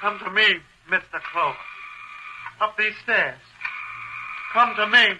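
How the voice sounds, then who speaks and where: A man's voice: sits high, slow pace. A man playing a mocking, menacing killer who calls out tauntingly in a 1940s radio crime drama. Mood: mocking, wild. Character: taunting young male killer cornered in darkness. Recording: noisy vintage radio recording.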